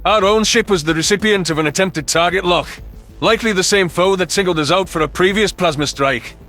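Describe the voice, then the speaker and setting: High-pitched male sci-fi audiobook narrator. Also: fairly quick, sharp tone, soft background music. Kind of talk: scripted first-person science fiction narration.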